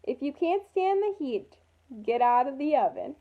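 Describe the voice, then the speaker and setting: A woman's voice: high voice, sing-song, moderate pace, phone-quality sound. A woman voicing an eager, unflappable woman schoolteacher from a children's storybook, breezy and confident.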